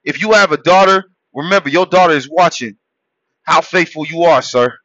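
A man born in Haiti hosting a podcast, delivering a preachy motivational monologue that swings between loud shouted exhortations and low, quiet murmurs. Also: moderate pace, no room sound, dull audio. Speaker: podcast host.

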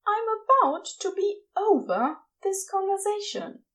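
Lady doing a prissy fussy angel man, high voice, all fluttery. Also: expressive, a little slow, fairly bright tone, full character voice. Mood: exasperated. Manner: prim, exasperated. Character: fussy prim male angel, exasperated but fond. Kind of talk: podfic character voice.

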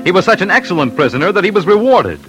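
A man's voice: sits high, very animated, racing, over loud music, low-quality recording. A man narrating an old-time radio crime documentary in a dramatic 1940s style.

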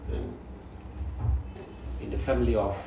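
A man in his forties from South Sudan, a guest in an interview, speaking slowly and haltingly with many pauses. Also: on the low side, level tone, vocal fry, over music. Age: 40s. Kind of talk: interview conversation. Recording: distant mic in echoey room, noisy.

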